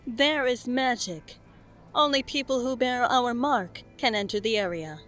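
An actress playing a calm assassin who explains things, in an audio drama. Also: female voice, on the high side, measured pace, soft background music. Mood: calm, careful.

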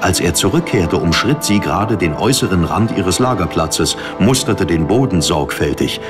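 A man's voice: low voice, animated, moderate pace. A man reading scripted dramatic narration as an audiobook narrator. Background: music under the narration.

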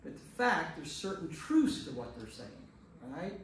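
A man's voice: fairly high pitch, sing-song, normal speed. A man leading a church Bible study as priest, teaching informally and checking in with rhetorical questions. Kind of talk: informal teaching to a discussion group. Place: reverberant room at a church group meeting. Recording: very echoey room, distant mic, faint noise.

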